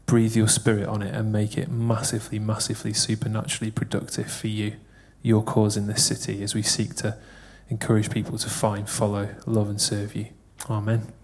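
A man's voice: fairly low pitch, completely flat delivery, fairly quick, hardly any pauses, slightly rough. A man praying aloud for someone in church, earnest, repeating phrases as he goes. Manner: earnest, repetitive prayer phrasing. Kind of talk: spoken prayer.

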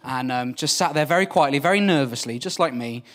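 A man's voice: mid-range voice, brisk, a bit bright, very echoey, far from the mic. A young Welsh-born man whose first language is Welsh, telling a comic story to an audience in a chatty, self-deprecating way and calling his listeners folks. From Wales. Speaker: storyteller on stage.

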